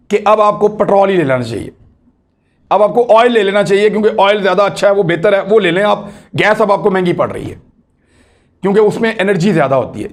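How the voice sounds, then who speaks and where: High-pitched Pakistani man explaining the news. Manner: emphatic, repeats key phrases, rhetorical questions. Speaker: political commentator hosting own online video. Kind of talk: explanatory news commentary to camera.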